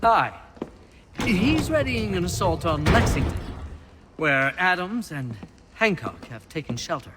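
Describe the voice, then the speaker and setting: An actor playing a fervent, excitable colonial patriot in a video game, speaking in an urgent, formal, theatrical way. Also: male voice, high-pitched, unhurried, big-room sound, heavy background noise. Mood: relieved, earnest. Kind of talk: video game character acting.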